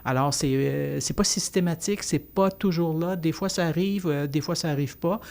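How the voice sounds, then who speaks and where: Podcast guest: man, slightly raised pitch, earnest and explanatory, with frequent restarts. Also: normal speed, vocal fry, a little mellow. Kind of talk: podcast panel discussion.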